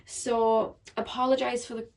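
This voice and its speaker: A woman with a croaky, slightly shaky voice giving a chatty, rambling tarot reading to her viewers. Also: on the high side, little pitch movement, a little slow, a little mellow, far from the mic. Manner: chatty, rambling with many ums and likes. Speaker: tarot reader. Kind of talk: pick-a-card tarot reading. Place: seated behind a camera at home.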